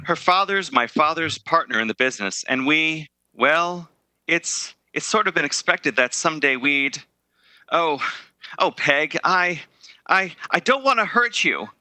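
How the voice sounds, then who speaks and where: A man playing an earnest, guilt-ridden young man in a 1930s-style radio drama, speaking haltingly and hesitantly. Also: fairly high pitch, lively intonation, bright, forceful tone, no echo, full character voice. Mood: uneasy, apologetic.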